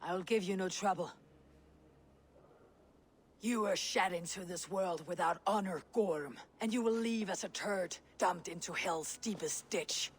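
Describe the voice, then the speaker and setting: A woman's voice: fairly low pitch, unhurried, husky. A woman playing a proud, stubborn, honor-bound Viking warrior in a video game, with a blunt, dry delivery. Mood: defiant anger. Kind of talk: dramatic video game acting.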